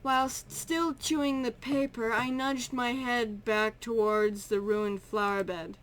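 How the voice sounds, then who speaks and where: A woman narrating a story aloud in an amateur, halting way, correcting misread words as she goes. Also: fairly high pitch, steady tone, poor audio.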